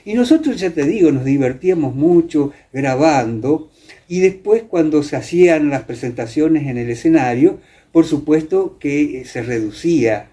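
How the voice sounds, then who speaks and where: An older man with an Argentine accent from Entre Ríos, reminiscing in a conversational interview, haltingly searching for words and repeating himself. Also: fairly high pitch, lively intonation, warm tone. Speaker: interviewee, former radio theatre actor.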